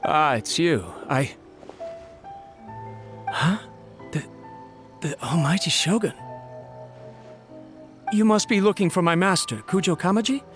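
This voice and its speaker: A man's voice: high-pitched, slow, pauses often, a bit bright, soft background music. A man voicing a flustered young male servant in a game, stammering and deferential. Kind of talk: game cutscene voice acting.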